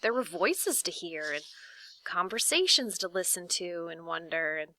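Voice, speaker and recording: A woman reads a children's story aloud like a teacher to a class, with expressive, deliberate phrasing and dramatic pauses. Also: fairly low pitch, dry recording.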